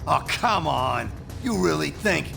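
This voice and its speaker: An actor playing a cocky, jeering thug villain in a video game, with a gravelly voice. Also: male voice, on the high side, animated, slow pace, full character voice. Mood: exasperated. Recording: music playing behind the voice.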